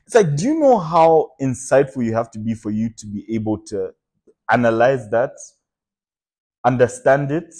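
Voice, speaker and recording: A young man from Zambia, a comedian guest telling stories in a relaxed podcast chat, with lots of fillers and laughter. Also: medium pitch, normal speed. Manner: storytelling, laughs often, many fillers.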